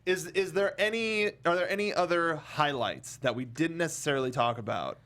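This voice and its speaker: A man hosting a casual film discussion, talking in a halting way and often restarting or repeating his phrases. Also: fairly high pitch, lively intonation, a bit bright. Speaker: talk show host.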